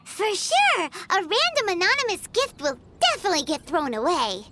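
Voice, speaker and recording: Tiny fairy companion, very high squeaky childlike voice, blunt, bossy, lively intonation, video game. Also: female voice, moderate pace, background noise. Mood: perky, bossy. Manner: bossy, teasing, exclamatory. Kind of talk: video game character acting. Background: background music.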